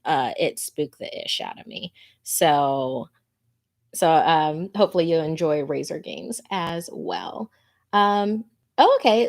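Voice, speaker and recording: Chatty woman with a lower-set voice. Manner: chatty, informal, easily amused. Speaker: host of a scary story show. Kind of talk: casual livestream chat and reading listener messages and stories aloud.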